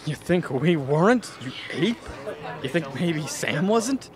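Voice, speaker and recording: A man plays a blunt, agitated male expedition member in a radio drama. His voice is fairly high and comes in halting bursts. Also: sing-song, a crowd in the background. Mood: angry. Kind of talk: radio play acting.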